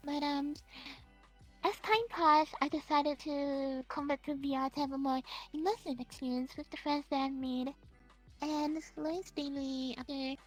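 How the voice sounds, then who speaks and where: A streamer guest with a Singaporean English accent, chatting excitedly and giggling through rambling stories in a casual interview. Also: high voice, moderate pace, faint music behind, low-quality recording.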